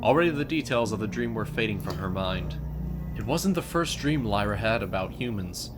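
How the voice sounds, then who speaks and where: Male narrator, scripted storytelling, expressive pitch movement, music bed. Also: normal speed.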